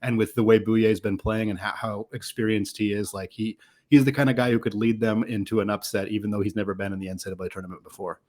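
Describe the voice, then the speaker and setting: A man's voice: fairly low pitch, little pitch movement, quick pace, darkish tone. A man hosting a sports podcast, analysing in casual talk that runs long and is full of qualifying asides.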